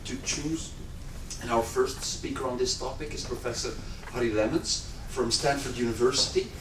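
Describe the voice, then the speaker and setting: A man chairing a conference session who introduces the speaker formally, often stopping to correct himself. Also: mid-range voice. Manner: halting, self-correcting introduction. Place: conference hall. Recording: echoey hall, distant mic, audible noise.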